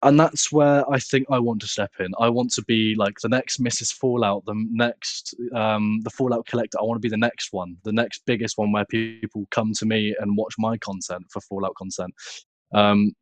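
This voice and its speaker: A nineteen-year-old man with a British accent, speaking casually and haltingly as an interview guest. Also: on the low side, no echo. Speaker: podcast guest, content creator.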